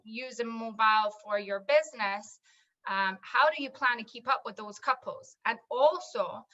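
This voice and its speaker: Scottish businesswoman, bright higher voice, fluent pitch delivery, lo-fi call audio. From Scotland. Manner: fluent, businesslike, listing items one by one. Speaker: wedding software business owner. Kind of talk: pitching in an online panel discussion.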